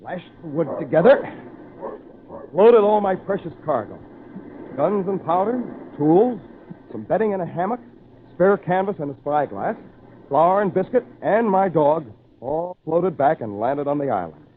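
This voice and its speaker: A tinny, slightly noisy vintage radio recording carries a lonely castaway man telling his story. His delivery is theatrical and earnest, with measured phrases, dramatic pauses and a very wide swing in pitch. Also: lively intonation. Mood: determined. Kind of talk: old-time radio drama acting, first-person narration. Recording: tinny, band-limited old radio recording, faint noise.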